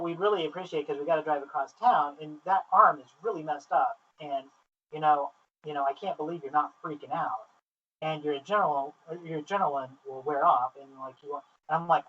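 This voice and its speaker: A man interviewing casually and telling stories in an informal, sweary, excitable way, on a flawed low-quality recording. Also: slight background noise. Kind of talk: casual chat, animated anecdotes. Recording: distant, muffled lo-fi, technical faults.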